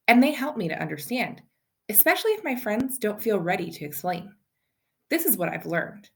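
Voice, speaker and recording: A woman reading a children's picture book aloud expressively, as a storyteller speaking to young listeners. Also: on the high side. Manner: animated, addresses child listeners with questions.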